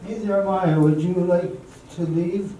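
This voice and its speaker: A man's voice: high-pitched, steady tone, measured pace, mellow, a little hiss or hum. A man acting as an eager, nervous suitor in an amateur radio drama, earnest and hesitant. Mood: dejected. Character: eager, nervous young suitor courting a woman. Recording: very echoey hall from far off, music.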